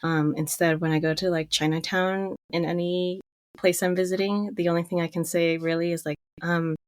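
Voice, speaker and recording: A young woman from Connecticut chats on a book club podcast, her voice sitting low and recorded close and dry. She talks loosely, fills her sentences with "like", and laughs easily. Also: little pitch movement, normal speed, darkish tone, no room sound. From Connecticut, USA. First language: English is her third language. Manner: chatty, many "like" fillers, laughs easily. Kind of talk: casual chat.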